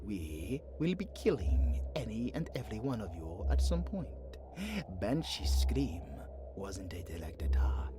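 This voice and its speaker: A sly dark elf emissary drawls with mocking courtesy, his pitch swooping wildly. The pacing is slow and theatrical, as in a fantasy audio drama, with soft music behind him. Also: big pitch swings. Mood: smug menace. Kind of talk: fantasy audio roleplay acting.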